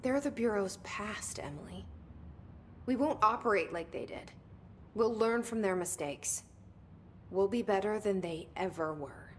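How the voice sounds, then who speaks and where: A woman's voice: medium pitch, expressive, a little slow, slight background noise, lightly acted. Resolute, plain-spoken young woman director, acted. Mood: determined. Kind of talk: naturalistic video game acting.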